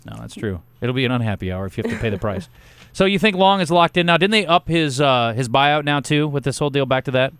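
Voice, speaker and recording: A middle-aged man hosting a sports talk radio show, opinionated and joking, with a loud voice. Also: medium pitch, expressive, fast, clear, resonant tone, no room sound. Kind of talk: casual sports radio banter.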